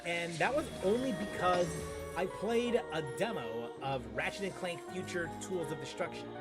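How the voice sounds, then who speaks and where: A young man streaming games, chatting casually in a rambling, halting way and repeating phrases for emphasis. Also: high voice. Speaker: gaming streamer. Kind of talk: casual, rambling gameplay chat. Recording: game music behind.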